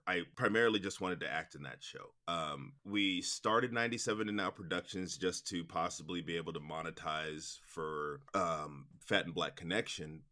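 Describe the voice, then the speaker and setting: A man's voice: low-pitched, sharp tone. A man in his forties speaking casually as an interview guest, chatty and informal, thinking aloud as he goes. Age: 40s. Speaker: interview guest, production company president.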